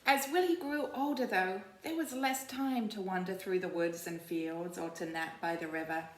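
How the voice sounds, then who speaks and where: A woman school librarian reading aloud to children on a library video, teacherly and expressive, often checking in with simple questions. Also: lowish voice, normal speed. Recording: roomy, echoing sound.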